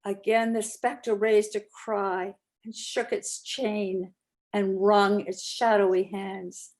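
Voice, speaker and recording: A woman's voice: medium pitch, level tone, slow pace, a little mellow. A woman narrating a classic story aloud as an amateur dramatic reading.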